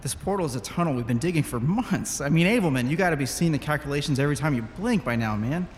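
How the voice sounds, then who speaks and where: A man playing an excitable, persuasive explorer scientist in an audio drama, informal and animated. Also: a little high, quick pace, slight background noise, close to an everyday voice. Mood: excited. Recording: long reverb, music behind. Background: music.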